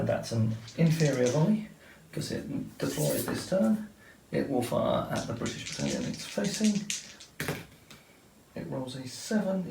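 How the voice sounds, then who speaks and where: Man, very gravelly voice, echoey room, methodical rule-by-rule commentary on a tabletop battle. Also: mid-range voice, darkish tone, a little hiss or hum. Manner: methodical, explains rules step by step. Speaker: wargame player explaining turns.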